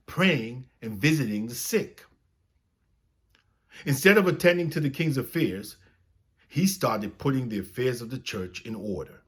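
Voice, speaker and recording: A man reading a children's story aloud as a storyteller, with expressive, dramatic phrasing. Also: medium pitch, slightly rough.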